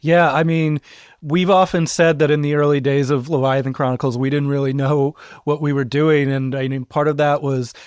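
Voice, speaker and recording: A man, a podcast co-creator, chatting in a relaxed, reflective way with frequent fillers as he introduces an episode. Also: on the high side, moderate pace, clear, resonant tone, dry recording, clean studio sound. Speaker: podcast co-creator and sound designer. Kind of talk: casual podcast intro chat.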